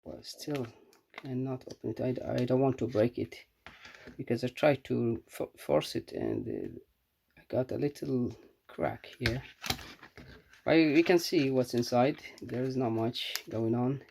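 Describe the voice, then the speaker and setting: A man giving a casual, unscripted hands-on product review in a halting, matter-of-fact way. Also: medium pitch, very animated, creaky, a little mellow, a little hiss or hum.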